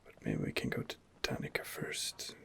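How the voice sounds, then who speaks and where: A man softly narrating a video game in ASMR style, musing hesitantly as he thinks aloud. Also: moderate pace. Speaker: gamer narrating a role-playing game playthrough. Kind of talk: whispered ASMR game commentary.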